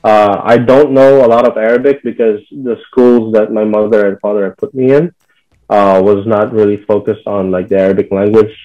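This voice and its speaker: Laid-back young man, low dull voice. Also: normal speed. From Bahrain. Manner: relaxed, full of "like" and "you know" fillers. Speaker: interview guest. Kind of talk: casual conversational interview.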